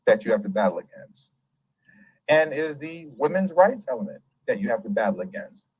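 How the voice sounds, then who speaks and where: Animated man hosting a commentary show. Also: mid-range voice, moderate pace, slightly rough, rough recording. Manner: animated, laughs often, emphatic and digressive. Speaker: host. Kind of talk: casual political commentary show.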